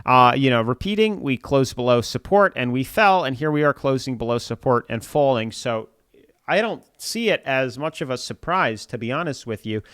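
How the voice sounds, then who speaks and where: Homem congestionado comentando criptomoedas. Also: male voice, medium pitch, animated, normal speed, fairly bright tone, clear, resonant tone, dry recording, studio quality. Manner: chatty, repeats filler "like", pushes viewers to subscribe. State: congested from a cold. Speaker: crypto channel host. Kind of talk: casual market commentary.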